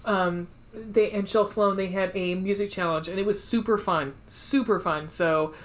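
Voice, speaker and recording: A woman's voice: mid-range voice, fairly even intonation, normal speed. A woman co-hosting a podcast in casual chat, informal and playful, with a habit of swearing. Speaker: podcast co-host.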